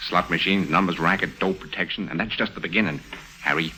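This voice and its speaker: An actor playing a ruthless, smugly scheming racketeer, commanding and smooth-talking, in a 1950s radio crime drama. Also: male voice, sits low, fast, sharp tone, full character voice, poor audio. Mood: persuasive.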